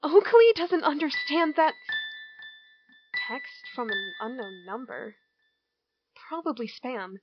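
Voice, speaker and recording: A slow, wistful bored teen girl. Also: high-pitched, expressive, muffled recording, over music. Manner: slow, inward, murmuring thoughts.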